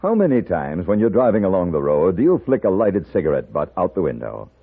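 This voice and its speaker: A man's voice: deep voice, wildly swooping pitch, a little fast, slightly rough. A man announcing in 1950s radio style, emphatic, polished and instructive. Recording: narrow-band 1950s radio recording.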